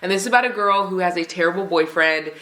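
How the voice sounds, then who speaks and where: A woman's voice: on the low side, fast talker, pushed and bright. A woman with a stuffy, congested nose, chatting casually and enthusiastically as she reviews books she has read. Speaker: book vlogger. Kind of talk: casual book review chat. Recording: roomy echo.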